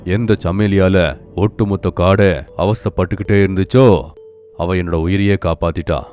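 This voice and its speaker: A man's voice: sits low, steady tone, normal speed, a little mellow, soft background music, natural voice. A man voicing a proud, commanding cartoon lion king with declamatory royal speech. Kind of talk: cartoon fable acting.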